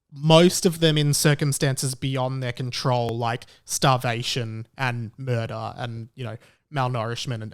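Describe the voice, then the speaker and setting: A man's voice: medium pitch, clear, resonant tone. A man hosting a podcast, giving casual opinion commentary in a conversational, rambling and emphatic way. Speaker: podcast host.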